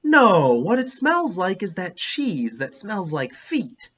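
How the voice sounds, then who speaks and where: Man reading as a chatty schoolboy, animated pitch. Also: slow, breathy edge, highs cut off, heavily acted. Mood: argumentative. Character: chatty young schoolboy griping about bad smells. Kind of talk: children's book read-aloud.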